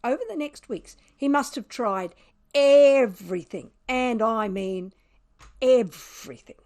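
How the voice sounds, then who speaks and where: Sardonic, mischievous storybook cat, played by a woman. Higher-pitched, very animated, unhurried and wry. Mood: smug, sardonic. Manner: dramatic, emphatic, wry delivery. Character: Tuffy, smug sardonic mischievous pet cat narrator. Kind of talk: children's storybook read aloud.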